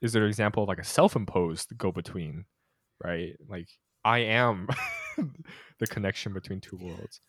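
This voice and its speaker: A man's voice: low-pitched, moderate pace, no room sound. A young man from the United States co-hosting a podcast, talking in a thoughtful, hesitant way with many restarts and small laughs. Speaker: podcast co-host. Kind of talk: casual conversational podcast.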